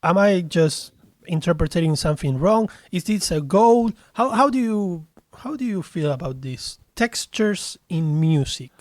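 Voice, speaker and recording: Guy hosting a chat, high voice, playful rambly questions, dry sound. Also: lively intonation, measured pace, no echo. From Argentina. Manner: playful, jokey, asks long rambling questions. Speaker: host. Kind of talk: casual interview chat.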